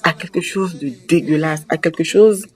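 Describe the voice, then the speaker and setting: A man's voice: on the high side, normal speed, slightly rough. A young man, speaking emphatically and repeating phrases for weight in a passionate talk. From Republic of the Congo. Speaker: host. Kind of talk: impassioned monologue on language and culture.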